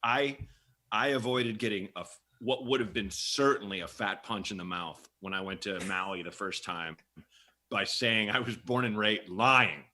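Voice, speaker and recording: Bright, punchy man bantering casually. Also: lowish voice, normal speed. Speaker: comedy podcast host. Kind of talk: casual comic banter and storytelling.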